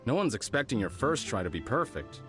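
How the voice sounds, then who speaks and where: Guy acting as easygoing game artisan man, patient teacher type, very expressive pitch, music in background. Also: fairly quick, close to an everyday voice. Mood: friendly, patient. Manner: easygoing, thoughtful hesitations, encouraging. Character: friendly, patient male festival lantern craftsman. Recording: music behind the voice.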